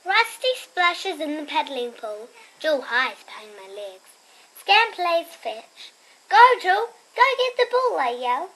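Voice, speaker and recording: A young girl reads a storybook aloud in a bright, lively voice that swings widely in pitch. She adds chatty asides in a quieter tone. Some background noise sits behind her. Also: takes it slowly, low-quality recording. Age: child. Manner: expressive reading, dropping into quieter asides. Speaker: storybook reader and host. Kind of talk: read-aloud of a picture book with chatty asides.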